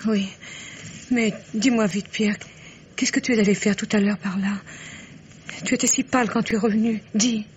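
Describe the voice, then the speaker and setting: An actress playing a distraught, pleading wife in an old radio mystery play, with a breathless, faltering, melodramatic delivery. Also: female voice, mid-range voice, fairly even intonation, normal speed, pauses often, natural voice. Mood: pleading, suspicious. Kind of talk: old French radio drama acting. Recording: dull lo-fi vintage radio, clear hiss.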